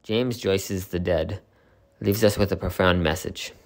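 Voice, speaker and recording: Deep-voiced man narrating an essay. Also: a little slow, gravelly, soft background music. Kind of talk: scripted narration.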